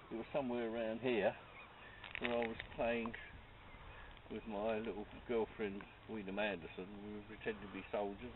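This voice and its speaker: A man's voice: lowish voice, background noise. Old man, far off and tinny. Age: about 80. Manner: jokey and casual, laughing at himself. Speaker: guide revisiting his childhood home. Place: outdoors. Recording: distant tinny outdoor recording with geese nearby.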